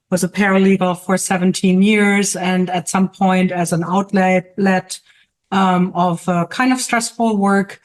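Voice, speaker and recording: A middle-aged woman from Germany with a German accent, a guest in a casual interview over a video call, who pauses often to search for words. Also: mid-range voice. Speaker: guest artist and teacher.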